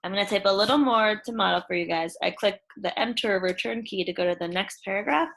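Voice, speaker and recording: A woman's voice: medium pitch, fast talker, creaky, a bit bright. A woman teacher walking pupils through a screen-share tutorial, instructing them informally step by step. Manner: instructional, addresses children informally as "you guys". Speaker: elementary school teacher.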